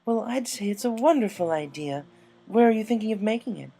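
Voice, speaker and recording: A man voicing a refined, dramatic female fashion pony in a storybook reading, tactful but firm, with drawn-out genteel speech. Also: high voice, expressive, gravelly, dark tone, pitched above the performer's own voice, heavily acted. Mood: delighted, encouraging. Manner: drawn-out, genteel, fond of saying darling.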